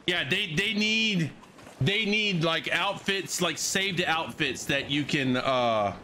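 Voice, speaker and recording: A man in his thirties streams a game from a small room at home, talking into a headset mic. His voice is bright and pushy, sitting a little high for a man, and it is starting to go from a cough. He chats loosely, says most things twice and swears now and then. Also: expressive, slow, a little hiss or hum. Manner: repeats his phrases, swears casually, talks over gameplay. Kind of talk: casual live-stream chat. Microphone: headset microphone.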